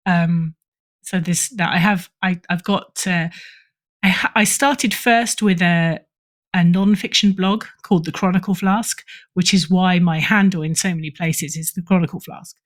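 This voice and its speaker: A woman's voice: fairly low pitch, steady tone, unhurried. A middle-aged woman talking casually as an interview guest, chatty and self-deprecating. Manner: self-deprecating, chatty, chuckles through remarks. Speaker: podcast guest, writer and editor.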